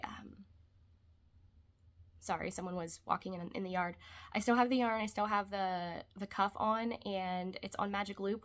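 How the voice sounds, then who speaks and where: A young woman talks casually from her couch at home, her voice stuffed up with congestion. She rambles and trails off into softer asides, at an ordinary mid pitch. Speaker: vlogger. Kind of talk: casual chat.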